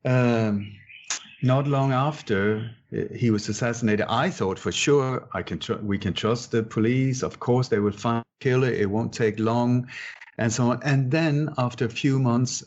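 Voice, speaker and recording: A man's voice: mid-range voice, measured pace, darkish tone. A man in his sixties who grew up in Sweden, answering interview questions emphatically, repeating words and often restarting his sentences. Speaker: guest researcher. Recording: muffled, dull-sounding audio.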